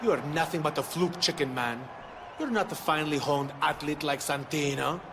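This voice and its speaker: Guy doing a preening wrestler bad guy, kinda high voice, trash talking, noisy background, video game cutscene. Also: normal speed, lightly acted. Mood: scornful taunting. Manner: taunting, bragging. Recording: clearly noisy background ambience.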